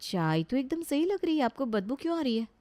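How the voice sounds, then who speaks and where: A woman acts a young city daughter-in-law in a cartoon family drama. The voice sits fairly high. She sounds dutiful and earnest, with a polite but plaintive, put-upon manner. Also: big pitch swings, brisk, mellow. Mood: puzzled, hurt. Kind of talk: Hindi animated family story acting.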